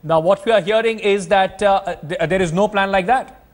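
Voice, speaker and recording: A male news anchor with an Indian accent and a fairly high voice. He runs a live interview, pressing with insistent, probing questions. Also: moderate pace.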